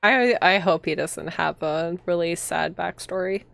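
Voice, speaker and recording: Lady vtuber reading a visual novel out loud, clear lowish voice, gushy little comments, soft music. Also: unhurried, dry recording. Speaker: streamer, virtual YouTuber. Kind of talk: reading visual novel aloud with casual reactions. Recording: music behind the voice. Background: game music.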